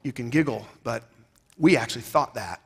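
A man's voice: mid-range voice, moderate pace, gravelly, breathy edge. Emphatic man giving a keynote in a big hall. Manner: emphatic, rhetorical, swinging between loud and quiet. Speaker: keynote speaker. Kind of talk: conference keynote speech. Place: stage of a large conference hall. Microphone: headset mic. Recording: reverberant large hall.

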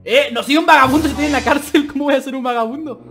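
Un joven con acento latinoamericano y voz muy aguda interpreta con entusiasmo un roleplay cómico de Minecraft, provocando y bromeando sin parar. Habla a un micrófono casero mientras suena una música de fondo bien presente. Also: male voice, very high voice, fast talker. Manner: playful, excitable, teasing. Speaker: gaming roleplay creator. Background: background music.

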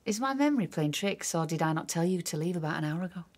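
An actress in a radio drama playing a wounded, suspicious estranged wife with a husky voice and dry sarcasm. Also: female voice, on the low side, lively intonation, quick pace, darkish tone. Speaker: actor.

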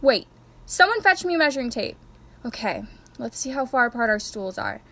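A woman's voice: on the high side, sing-song, moderate pace, a bit bright, full character voice. A woman playing a melodramatic, easily panicked radio show host in a comic radio play.

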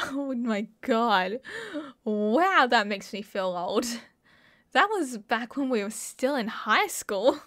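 A young woman with an Australian accent playing an excitable, mischievous college friend in a casual audio roleplay. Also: fairly high pitch, slow, pauses often, full character voice. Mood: amused, nostalgic. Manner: playful, giggly, slangy. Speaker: roleplay voice performer. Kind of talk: ASMR roleplay acting, casual chat to a friend.

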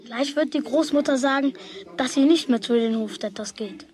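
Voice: sits high, moderate pace, a little mellow, natural voice. An actor playing a stubborn, jealous schoolboy in a children's radio play, grumbling indignantly to himself. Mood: sulky. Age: child figure.

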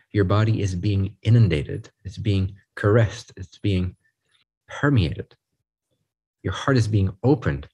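A man leading a guided group meditation, speaking in a soothing, unhurried way in short phrases with pauses. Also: on the low side, warm tone, breathy edge.

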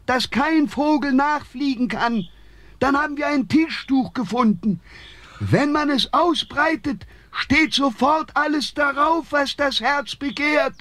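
Squeaky quarrelsome forest spirits. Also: male voice, fairly bright tone, no room sound, heavily acted. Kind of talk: audio drama acting.

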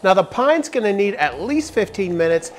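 A man's voice: high voice, big pitch swings, normal speed, a little hiss or hum. A man in his forties hosting a woodworking tutorial, explaining each step in an upbeat, step-by-step way from a large indoor workshop. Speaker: woodworking channel host.

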